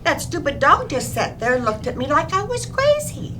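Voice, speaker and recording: A woman's voice: high voice, wildly swooping pitch, moderate pace, background noise. A woman playing a cheerful, scatterbrained wife with innocent, daffy logic in an old-time radio comedy. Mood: earnest, daffy. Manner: bubbly, innocent, earnest.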